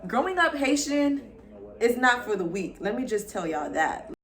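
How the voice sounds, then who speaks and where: A woman's voice: fairly high pitch, very animated, moderate pace, a bit bright. A young woman from Florida, a lifestyle vlogger, chatting casually and upbeat to her viewers as she introduces herself. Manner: chatty, upbeat, addresses viewers as y'all and girl.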